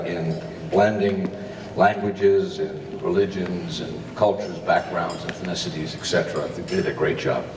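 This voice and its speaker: A man in his fifties with a Texan accent giving a joking, storytelling wedding speech in a large ballroom. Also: sits low. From Texas. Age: 50s. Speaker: father giving a wedding toast. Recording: echoey ballroom from far off, noisy.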